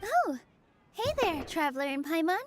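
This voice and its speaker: Sweet, high-voiced young dancer girl. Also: very animated, normal speed, heavy background noise. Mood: cheerful. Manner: sweet, friendly, reassuring. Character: cheerful, gentle young female theater dancer. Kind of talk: video game voice acting. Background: music.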